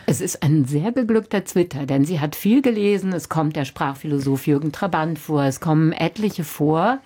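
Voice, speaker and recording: Woman discussing a book, low voice. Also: expressive, normal speed, warm tone. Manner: thoughtful, explanatory. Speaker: book critic.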